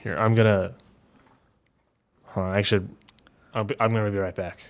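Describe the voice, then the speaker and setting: A man playing Marco, an awkward, gently consoling friend in a tabletop game, speaking in a halting, tentative way. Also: on the low side, fairly even intonation, close to an everyday voice.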